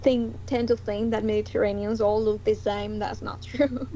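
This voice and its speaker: A woman from Spain, a podcast guest, soft-spoken and laughing often. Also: medium pitch, fairly even intonation, moderate pace, dull audio.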